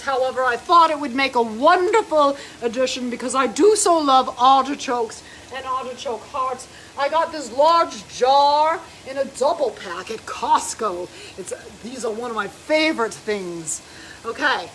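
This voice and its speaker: High-pitched lady with a light americanized german accent, fussy homey cooking host, soft sizzle in the background. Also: slight background noise, low-quality recording. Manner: prim, fussy, homey, narrates each step. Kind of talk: chatty cooking demonstration. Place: home kitchen. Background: food frying in a pan.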